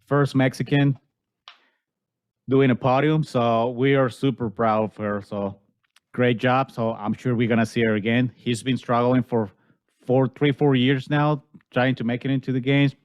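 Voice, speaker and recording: A man's voice: medium pitch, fairly even intonation, normal speed, highs cut off. A man with a mid-range voice hosts a casual podcast. He chats in a friendly way, laughs often, and repeats his words as he goes. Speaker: podcast host. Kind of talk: casual chat.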